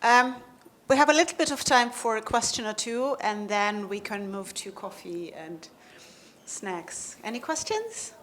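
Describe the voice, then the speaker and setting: A woman's voice: a little high, lively intonation, a little slow, fairly bright tone. A woman chairing an academic seminar, thanking and questioning a speaker in a live session. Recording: live seminar with faint background noise.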